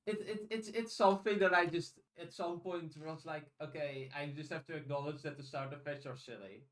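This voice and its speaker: A man co-hosting a podcast in casual chat, giving opinions in a measured, hesitant way. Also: on the high side. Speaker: podcast co-host. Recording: slightly distant from the mic.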